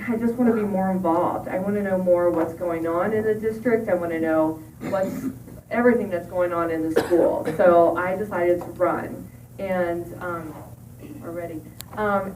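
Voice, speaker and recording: A woman's voice: lowish voice, a little mellow, slight background noise. A woman serving on a school board, giving a hesitant forum speech with many fillers. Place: library meeting room. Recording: echoey room from far off.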